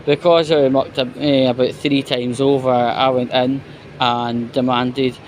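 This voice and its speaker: A man with a Scottish accent gives an exasperated, sweary, halting off-the-cuff rant while out walking. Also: mid-range voice, level tone. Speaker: vlogger. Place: outdoors, walking. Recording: noisy outdoor street with traffic and crowd.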